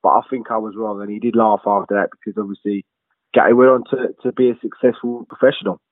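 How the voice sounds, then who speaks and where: A man's voice: on the low side, fairly even intonation, fast talker, dry recording. A man talking casually, commenting on a boxer's early career with restarts and asides. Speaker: co-presenter. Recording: phone-like dry audio.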